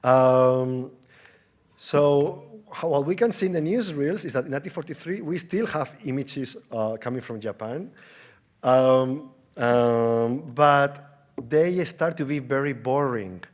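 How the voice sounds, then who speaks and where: A man speaks as an academic presenter at a conference, in a medium-pitched voice. His delivery is halting, often restarting and repeating words. He explains things informally, with conversational asides and rhetorical questions. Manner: halting, with stammered false starts and repeated words. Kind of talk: conference lecture.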